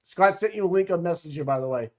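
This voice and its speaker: A man from the Boston area co-hosting a casual live stream, talking in a rambling, halting way and swearing casually. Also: sits high, animated, a little mellow, lo-fi sound. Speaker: co-host.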